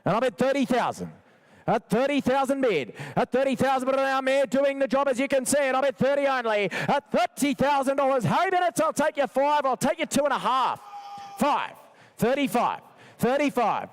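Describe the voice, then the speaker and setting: Male auctioneer, extremely high pitch, smooth resonant tone, insistent repetitive bid-calling chant. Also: expressive, normal speed. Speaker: livestock auctioneer. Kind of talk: rapid rhythmic auction chant calling bids. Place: sales arena.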